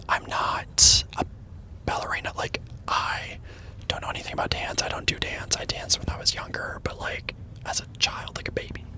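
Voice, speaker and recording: A man who teaches, telling a casual rambling story in a soft unvoiced whisper from a parked car, with the mic on the dashboard. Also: poor audio. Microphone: microphone on the dashboard.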